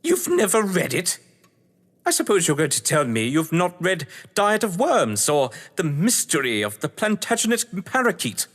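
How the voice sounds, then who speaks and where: In a long, hall-like reverb comes a man acting a pompous English schemer, a crime writer from an audio play. His voice sits on the high side and swings in pitch as he talks down to his listener with cunning relish. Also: wildly swooping pitch, moderate pace, fairly bright tone, full character voice. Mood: vain, indignant. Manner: pompous, theatrical, condescending, elaborately wordy. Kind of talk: theatrical audio drama acting.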